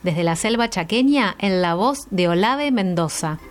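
A woman's voice: mid-range voice, lively intonation, a little slow. A woman presenting a scripted introduction to a children's radio theatre programme.

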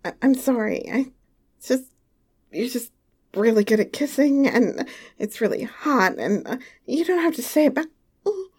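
A tall, teasing young lover, played by a woman murmurs in a soft, breathy whisper. Her voice sits high, and she takes her time over every word. It is close and personal, spoken straight to the listener. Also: lively intonation, unhurried, full character voice. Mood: whispery tender. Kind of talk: intimate audio roleplay to the listener.

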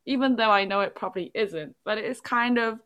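A woman's voice: fairly high pitch, a little fast, lo-fi sound. Chatty woman telling stories. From England. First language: not English. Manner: animated storytelling, rambling with many "like"s. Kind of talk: casual chat.

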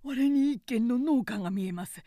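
A woman's voice: on the high side, normal speed, warm tone, no echo, clean studio sound, pitched above the performer's own voice, lightly acted. A woman voicing a warlord's loyal, anxious nephew with an earnest, urgent manner in a story reading. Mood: encouraging.

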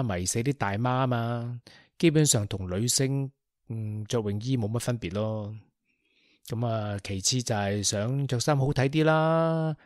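A chatty young man who teases his friends, acted by a man, with a Hong Kong Cantonese accent. His voice is mid-pitched and dry, recorded close. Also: a little mellow, no echo, natural voice. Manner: joking, talkative, teasing. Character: chatty teasing young man, office worker. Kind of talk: Cantonese radio drama acting.

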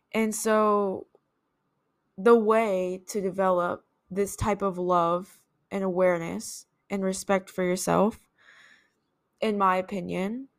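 Creaky-voiced woman hosting a podcast. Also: medium pitch. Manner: earnest, thinks aloud with restarts. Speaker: podcast host. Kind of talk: reflective solo podcast talk.

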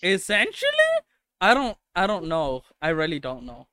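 A young man from India tells loose, profane stories in a casual chat, laughing often. His voice is bright and smooth, a little high for a man. It is recorded close and dry. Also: big pitch swings, moderate pace, no echo. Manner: swears a lot, laughs often, loose storytelling.

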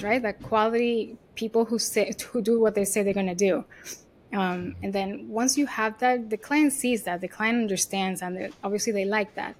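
A woman with a Venezuelan Spanish accent, an agency head answering interview questions casually and confidently, often saying "you know" and "like". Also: medium pitch. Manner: chatty, leans on "you know" and "like". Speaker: agency CEO, guest.

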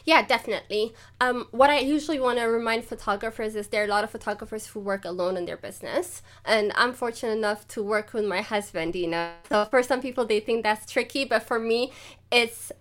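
A woman who is a photographer, a guest on a podcast, chatting casually in a lively way and laughing easily. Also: fairly high pitch, brisk, soft background music. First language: not German; lives and works in German. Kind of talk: casual interview chat.

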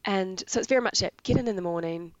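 A woman from New Zealand with a raspy voice from being sick, eagerly explaining her work in veterinary anaesthesia in a casual interview. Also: mid-range voice, fast talker, no echo, muffled recording. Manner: enthusiastic, explains jargon in plain terms. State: raspy voice from illness.

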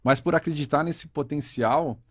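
A man in his forties from Brazil, a guest in a casual interview, telling his career story in a talkative, reflective way and often tagging his sentences with a quick question. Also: a little high, no room sound, clean studio sound. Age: 40s. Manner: talkative, reflective, frequent "né?" tags.

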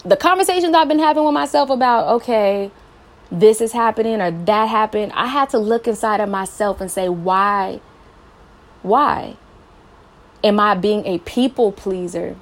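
Late-twenties woman, podcast host, earnest encouraging advice talk, mid-pitched voice. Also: moderate pace. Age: late 20s. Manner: earnest, encouraging, many rhetorical questions. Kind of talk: personal advice monologue.

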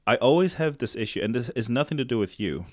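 A man's voice: medium pitch, animated, fast talker. A man from Tonga, a native Tongan speaker, hosting a casual conversational podcast interview, talking discursively, rephrasing his points and checking for agreement. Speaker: podcast host.

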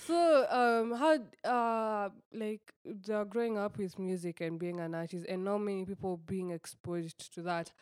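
A woman's voice: mid-range voice, a little slow, few pauses, darkish tone, clean tone, dry recording. A woman with a Kenyan accent and a mid-range voice hosts a casual podcast chat. She asks loose, curious questions full of fillers. The sound is dry and close. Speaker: podcast host.

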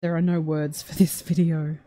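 A woman with a lowish voice hosts a livestream, chatting loosely and reading out viewer comments. Her tone is dark and mellow. She rambles, stopping and restarting her sentences. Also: flat delivery, normal speed. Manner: rambling, many fillers and restarts. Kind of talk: casual chat.

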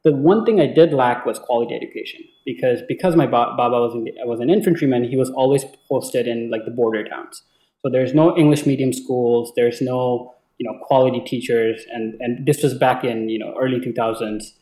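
A young man from Bangladesh whose first language is Bengali speaks at a middling pitch in an echoey room. He talks like an interview guest telling his story casually, hesitating and doubling back on words as he goes. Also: steady tone, brisk, warm tone. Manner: hesitant, often repeating words. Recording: echoey, roomy sound.

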